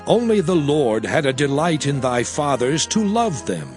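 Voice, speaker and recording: A man's voice: fairly high pitch, big pitch swings, measured pace. A man playing a grave, commanding prophet addressing his people in a dramatized scripture reading, solemn, declamatory and emphatic. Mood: fervent, exhorting. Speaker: Bible narrator. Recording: music behind the voice.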